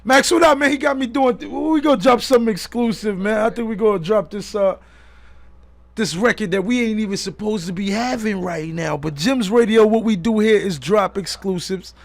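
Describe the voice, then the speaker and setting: High-pitched hype radio host, male. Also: moderate pace, gravelly, no echo. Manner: slangy, hyped-up, addresses listeners and guest as "man". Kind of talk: hype radio show chat. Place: radio studio.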